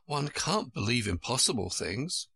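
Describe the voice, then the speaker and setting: A man voicing a polite seven-year-old girl in a storybook, with a gentle, plaintive manner. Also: mid-range voice, very animated, measured pace, creaky, husky, dry recording, heavily acted. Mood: polite, doubtful. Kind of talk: storybook character reading.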